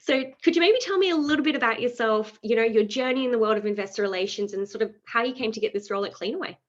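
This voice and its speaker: A fast-talking woman hosts an online investing webinar and reads her prepared introduction briskly. She fills her pauses with um. Her voice comes through a dull, lo-fi video call line. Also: on the high side. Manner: brisk, with frequent "um" fillers. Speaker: webinar host. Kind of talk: reading a scripted company introduction.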